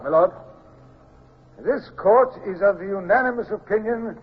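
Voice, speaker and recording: An actor playing a pompous, declamatory male dignitary in an old-time radio drama. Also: high voice. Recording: dull old radio recording.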